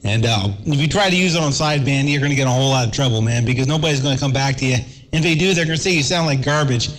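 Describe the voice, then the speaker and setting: A man's voice: medium pitch, rapid-fire, fairly bright tone, poor audio. A man chatting casually over amateur radio, laid-back and calling everyone "man".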